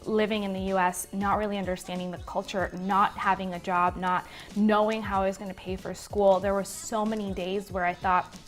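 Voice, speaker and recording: A woman's voice: mid-range voice, level tone, moderate pace, hardly any pauses. Puerto rican woman in her 20s, spanish first language, chatty q&a to webcam, faint music under. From Puerto Rico. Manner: chatty, gestures a lot. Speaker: business vlogger. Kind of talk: Q&A to camera. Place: living room indoors. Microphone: webcam at desk.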